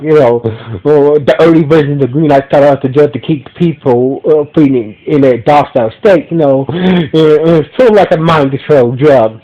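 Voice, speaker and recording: A man with a stuffy, blocked nose talks through a show recap on his own podcast. His voice sits a little high for a man, and he stumbles often, restarting phrases and leaning on fillers as he goes. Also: warm tone, highs cut off. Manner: halting, many restarts and fillers, keeps saying "so basically". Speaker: podcast host reviewing a TV episode. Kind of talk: casual unscripted recap.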